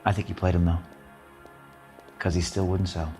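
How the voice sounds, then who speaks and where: A man's voice: sits low, level tone, moderate pace, dark tone, soft background music, close to an everyday voice. A man playing a slangy, defensive working-class repairman under police questioning in a video game, evasive and indignant. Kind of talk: dramatic video game interrogation acting.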